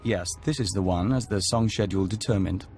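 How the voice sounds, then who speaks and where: A man voicing a serene, courteous video game envoy joined to an alien hive mind, speaking formally and with measured care. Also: lowish voice, music in the background, natural voice.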